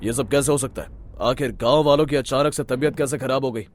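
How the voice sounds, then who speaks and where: A man's voice: medium pitch, fairly even intonation, brisk, slight background noise, close to an everyday voice. A man voicing a stern, suspicious village headman in a cartoon story, demanding and authoritative. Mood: shocked.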